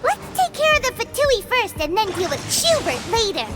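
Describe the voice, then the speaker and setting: A tiny childlike fairy companion for a video game, cheeky and perky. The voice is extremely high and squeaky, bouncing widely in pitch. Loud music plays behind it. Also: female voice, sing-song, normal speed. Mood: alert, urgent. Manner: perky, childlike, refers to self by name. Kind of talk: video game character acting.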